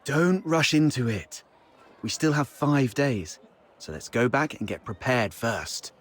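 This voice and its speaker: A man voicing a calm, shrewd, cautious ally in a video game, speaking in a measured, reflective way. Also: medium pitch. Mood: probing, cautioning.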